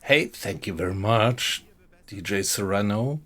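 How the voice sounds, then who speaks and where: A German man with a German accent, chatting with viewers as he streams a game, relaxed and often quiet. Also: fairly low pitch, measured pace. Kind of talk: casual gameplay commentary, chatting with viewers.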